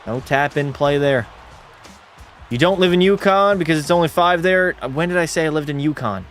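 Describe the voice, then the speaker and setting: A man's voice: fairly high pitch, moderate pace, faint music behind. Casual man calling a video hockey game. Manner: relaxed, drops into terse hockey call mode. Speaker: streamer. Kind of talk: casual play-by-play commentary and chat reading.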